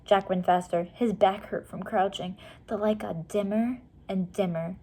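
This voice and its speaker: Female children's story reader: mid-pitched, expressive narration, simple casual explanations for young listeners. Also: measured pace.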